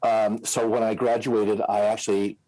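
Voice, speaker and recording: A man's voice: on the low side, level tone, normal speed, mellow, rough recording. An American man in his sixties with a Midwestern accent, talking casually as an interview guest, rambling with many fillers and restarts. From United States. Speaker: guest, scenario planner.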